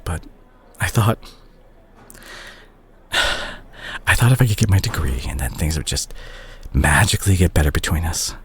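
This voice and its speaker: A man playing a remorseful, guilt-ridden doctor ex-boyfriend in an intimate romantic audio drama, speaking haltingly and tenderly with a husky voice. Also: low-pitched, a little hiss or hum. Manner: halting, emotional, with sighs and repeated words. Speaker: audio roleplay performer.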